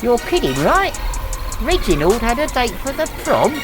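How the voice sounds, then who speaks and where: A man's voice: very high voice, huge pitch swings, moderate pace, over loud music, exaggerated voice. A man playing a cheeky talking wooden dummy with a British accent and a mocking, sarcastic manner.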